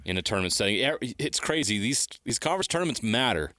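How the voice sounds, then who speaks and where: A man's voice: fairly low pitch, very animated, fast, bright, forceful tone, no echo. A man co-hosting a sports talk show, explaining things casually and repeating words for emphasis, on a close studio mic. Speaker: sports radio co-host. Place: radio studio. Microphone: close studio microphone.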